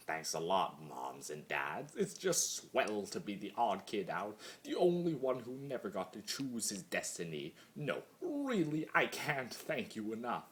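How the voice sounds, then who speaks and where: A man's voice: deep voice, fairly bright tone, slight background noise, exaggerated voice. Man acting a theatrical, swooping chaos creature. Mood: mocking, sarcastic. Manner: theatrical, sarcastic, swings between mutters and mocking outbursts. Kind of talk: dramatic monologue reading of fan fiction.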